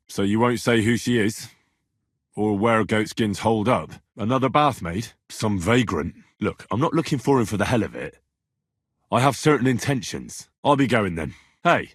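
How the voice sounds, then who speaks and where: A man's voice: on the low side, slightly rough, no room sound, studio quality. A man playing an earnest, blunt, streetwise young adventurer in a medieval video game, speaking in plain, colloquial British phrasing. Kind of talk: video game dialogue voice acting.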